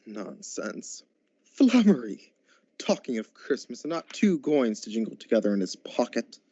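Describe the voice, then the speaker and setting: A man's voice: medium pitch, sing-song, unhurried, mellow, breathy edge, dry recording, dull audio, heavily acted. A man playing a miserly, grumpy old businessman in a radio drama, curt and scornful. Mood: irritated.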